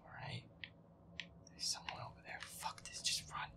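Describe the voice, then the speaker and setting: A man playing a feisty, grumpy werewolf boyfriend who mutters and swears his way through a scary video game, often in a breathy whisper. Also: normal speed, close to an everyday voice. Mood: irritated, uneasy. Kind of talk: boyfriend audio roleplay as gameplay commentary.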